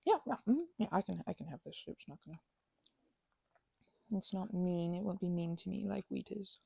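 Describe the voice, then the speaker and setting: A woman in an ASMR roleplay talking to the listener as a roommate, rambling and hesitant, with lots of fillers and stammered restarts and soft whispers at times, close to the microphone. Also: fairly low pitch, normal speed, vocal fry, slightly rough, no echo, muffled recording.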